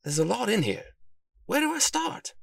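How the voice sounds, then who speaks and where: A man voicing an excitable, earnest male detective in a storybook, dramatic and given to puzzled questions. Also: sits high, normal speed, creaky, no echo, pitched above the performer's own voice, exaggerated voice. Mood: bewildered. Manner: exclaiming, self-questioning, dramatic.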